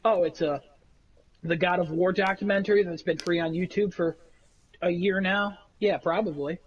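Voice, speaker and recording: A man with a nasal voice, rambling through animated stories in a casual chat among friends. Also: sits high, normal speed, highs cut off, a little hiss or hum. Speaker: podcast co-host.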